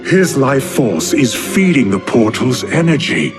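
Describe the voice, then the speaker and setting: A haughty male warrior in a cinematic game. His formal, theatrical lines come slowly, with wide swings of pitch. A loud score plays underneath. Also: sing-song. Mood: disdainful. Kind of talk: cinematic video game acting. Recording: loud cinematic music behind.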